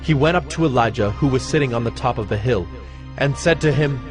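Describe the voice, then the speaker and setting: Measured male narrator over music. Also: mid-range voice. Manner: measured, formal. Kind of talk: scripted scripture narration.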